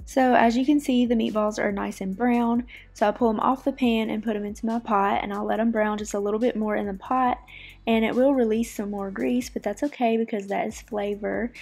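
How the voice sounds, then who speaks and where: A woman casually narrating her cooking in a relaxed, chatty voice-over. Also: fairly high pitch, brisk, creaky, faint music behind. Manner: relaxed, chatty, friendly explaining. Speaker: cooking vlogger.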